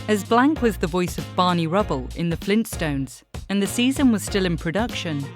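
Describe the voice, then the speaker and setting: A woman with a fairly low voice narrates a scripted documentary. She speaks as the host of the programme, with faint music behind her.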